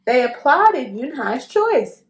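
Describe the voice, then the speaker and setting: Animated high-pitched woman reading a storybook. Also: slow pace. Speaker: children's book reader. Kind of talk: expressive scripted picture-book read-aloud.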